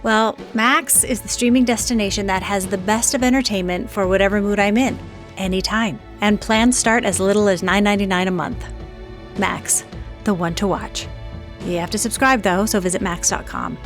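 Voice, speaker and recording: Female podcast host, mid-pitched, conversational presenting, some music underneath. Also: fairly even intonation, normal speed. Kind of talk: host narration, ad reads and conversational interviewing.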